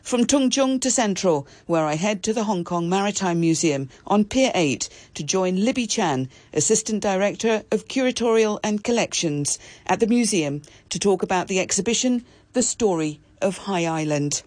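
A woman's voice: medium pitch, lively intonation, moderate pace, hardly any pauses, darkish tone, no echo. Woman presenter, very dry close recording. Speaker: programme presenter. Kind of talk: scripted narration. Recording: very dry close studio voice-over.

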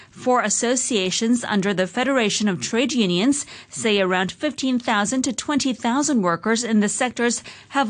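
A woman reads the radio news bulletin in a measured, steady delivery, pitched in the middle for a woman. She runs through the headlines like a practiced anchor. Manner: measured, even newsreader delivery. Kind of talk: scripted radio news reading.

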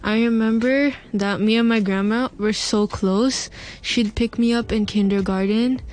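A woman's voice: a little high, fairly even intonation, a little slow, a little mellow, rough recording. Chatty teen girl, lots of fillers. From Hong Kong. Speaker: student guest. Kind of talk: casual storytelling.

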